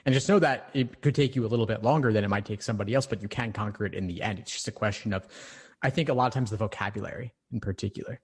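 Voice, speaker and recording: A man's voice: on the low side, rapid-fire, breathy edge. Reassuring male tutor. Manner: reassuring, encouraging. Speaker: LSAT tutor and podcast host. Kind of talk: one-on-one coaching call.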